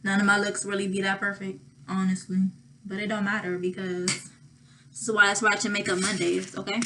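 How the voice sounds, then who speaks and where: A young woman with a slightly raspy voice chatting casually through a makeup tutorial, thinking aloud and pausing as she works. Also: medium pitch, flat delivery, sharp tone, slight background noise. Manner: thinking aloud, drawn-out pauses while applying makeup. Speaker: makeup vlogger.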